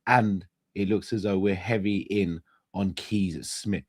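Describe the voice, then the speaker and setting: A young man hosting a casual live-stream chat, hoarse and congested, talking straight to his viewers and often restarting his phrases. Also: sits low, fairly even intonation, slow pace. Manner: chatty, addresses viewers directly, restarts phrases. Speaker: host.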